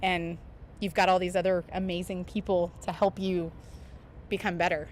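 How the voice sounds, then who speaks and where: A woman's voice: on the low side, flat delivery, moderate pace, slight background noise. A woman with a Southern US country accent, a roller derby skater, answering interview questions candidly and laughing often. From Southeast Missouri.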